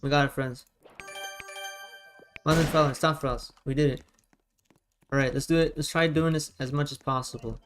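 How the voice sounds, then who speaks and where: A man from the United States giving casual gameplay commentary, rallying teammates with quick repeated calls. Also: on the high side, fairly even intonation, fairly bright tone, faint music behind. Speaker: gamer streamer.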